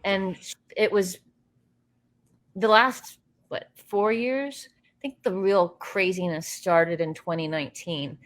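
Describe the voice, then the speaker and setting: A woman from the United States, a guest in a casual interview, telling animated, rambling stories with many fillers and restarts. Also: medium pitch, takes it slowly. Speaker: interview guest, actress and filmmaker.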